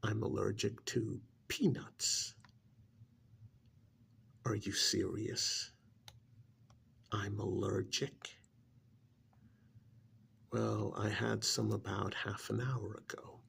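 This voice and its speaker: Casting: male actor as bitter alien posing as a stern uncle, very slow and heavily creaky, for an ASMR roleplay. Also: lowish voice, flat delivery, fairly bright tone, exaggerated voice. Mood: incredulous. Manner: stern, contemptuous, slow emphatic delivery with pauses.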